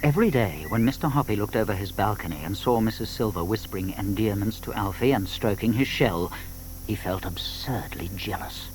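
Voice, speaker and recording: Lively male storybook narrator. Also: on the low side, brisk, low-quality recording. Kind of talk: dramatised children's storybook narration.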